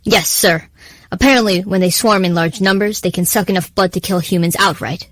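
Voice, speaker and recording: A woman playing a serious, formal presidential aide delivering a measured, deferential briefing in an audio drama. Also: fairly low pitch, no echo, natural voice. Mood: urgent, grave.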